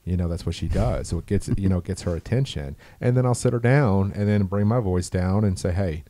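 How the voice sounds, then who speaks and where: A middle-aged man with a deep voice, talking earnestly and slowly about raising his daughter in a casual chat. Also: mellow, dry recording. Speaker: guest, father.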